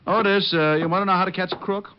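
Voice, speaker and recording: A man's voice: sits high, steady tone, fast, slight background noise, lightly acted. A man playing a flippant, charming private detective in old radio drama style, teasing and breezy. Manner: teasing, playful, sarcastic. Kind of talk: 1940s-50s radio detective acting with first-person narration. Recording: dull vintage radio recording with music.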